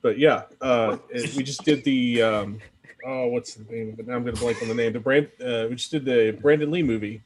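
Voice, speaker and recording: A man's voice: medium pitch, fairly even intonation, fairly quick, slight background noise. A man talking casually on a podcast, rambling a little with dry humor. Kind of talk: casual podcast plug with joking asides.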